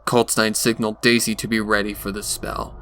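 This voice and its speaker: A man's voice: lowish voice, level tone, a little fast, sharp tone. A man narrating a scripted horror story in a dramatic storytelling style. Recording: faint background noise.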